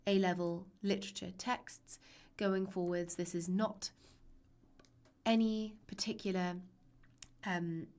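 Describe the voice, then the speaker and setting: Raspy woman teaching with a cold. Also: mid-range voice, fairly even intonation, takes it slowly, darkish tone. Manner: chatty and reassuring, with frequent ums. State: hoarse from a cold. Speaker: literature teacher. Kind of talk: live-streamed online lesson.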